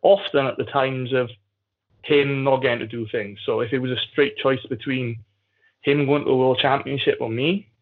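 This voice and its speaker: A man's voice: mid-range voice, fairly even intonation, moderate pace. A man with a South Wales Valleys accent chatting casually and candidly as a podcast guest. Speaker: podcast guest, jiu-jitsu athlete. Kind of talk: casual conversational storytelling. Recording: muffled phone-like call audio.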